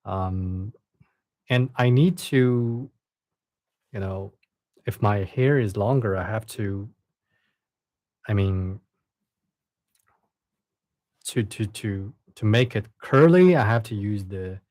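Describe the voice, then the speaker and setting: A young man with a Chinese accent chats casually on a live stream, soft-spoken and a little hesitant, often doubling back on his words. His voice sits on the low side. The sound is dry and close, with no room echo. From China. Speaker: live streamer reading stories.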